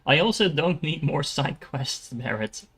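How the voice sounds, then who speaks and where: A man's voice: a little high, animated, a little slow, a bit bright, husky. Man musing aloud as he streams a game. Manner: musing aloud, dryly joking. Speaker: livestreamer playing a game. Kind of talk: casual live game commentary.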